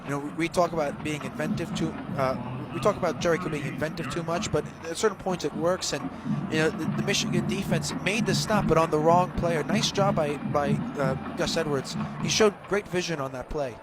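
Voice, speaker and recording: An American man with a fairly high voice gives color commentary on live football radio. He talks quickly and emphatically, repeating himself to push his opinions, over very loud stadium noise. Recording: loud constant stadium noise, outdoor broadcast.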